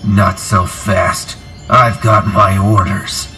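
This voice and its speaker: An actor playing a hostile, defiant guard in a video game cutscene, speaking slowly and with threatening emphasis. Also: male voice, fairly low pitch, flat delivery. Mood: menacing, defiant. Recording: music behind the voice.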